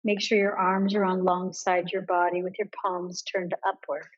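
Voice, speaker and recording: Woman guiding meditation, dull lo-fi sound. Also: fairly low pitch. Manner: calm, measured instruction with pauses. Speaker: yoga nidra meditation guide. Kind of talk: guided meditation.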